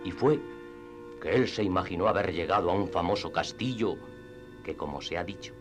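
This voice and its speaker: A man narrating classic literature in radio theatre style, with expressive storytelling and deliberate phrasing. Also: sits low. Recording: music under the voice. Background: background music.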